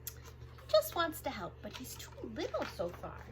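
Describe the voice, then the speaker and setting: A woman reading aloud to young children as a storytime host, expressive and sing-song, drawing out the sound words. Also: sits high, measured pace, background noise. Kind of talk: animated picture-book read-aloud for young children.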